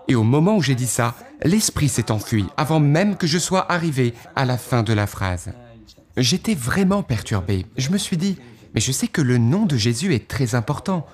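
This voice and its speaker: Man for an earnest testimony read, mid-pitched, very animated intonation, dramatic pauses. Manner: earnest, recounting past thoughts with dramatic pauses. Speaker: guest giving a testimony. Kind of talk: first-person testimony storytelling.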